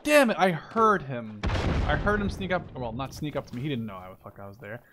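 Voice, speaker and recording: A man's voice: mid-range voice, sing-song, fairly quick, very noisy. A man giving casual, off-the-cuff commentary while playing a game, informal and sweary as he thinks aloud. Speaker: gameplay commentator. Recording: game gunfire behind the voice. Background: shooter game gunfire and clicks.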